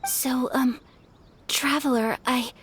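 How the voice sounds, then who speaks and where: A woman playing a polite, shy young noblewoman in an anime game, soft-spoken, formal and earnest. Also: sits high, fairly even intonation, slow pace, slight background noise. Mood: shy, nervous. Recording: faint music behind the voice.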